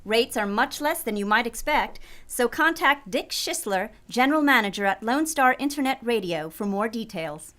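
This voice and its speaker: A woman's voice: fairly high pitch, lively intonation, moderate pace, few pauses, a bit bright. A woman reading a scripted radio advertisement as an announcer.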